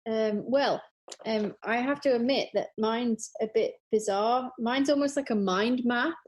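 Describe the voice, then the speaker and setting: A woman speaking as a podcast guest in casual conversation, a little tentative and halting as she explains. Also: on the high side.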